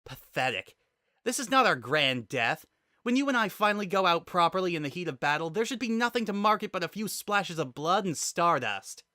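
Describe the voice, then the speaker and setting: A man's voice: high-pitched, lively intonation, brisk, sharp tone, no room sound, clean studio sound, voice pushed up. A man voicing a bitter, injured warrior woman in a dramatic reading, intense, scornful and defiant. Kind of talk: dramatic reading of fan fiction.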